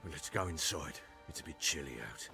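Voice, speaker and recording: An actor playing a gruff, commanding middle-aged baron and troubled father in a video game, with a gravelly voice and lordly bluster. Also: rumbling low, a little fast, over music. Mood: anxious, demanding.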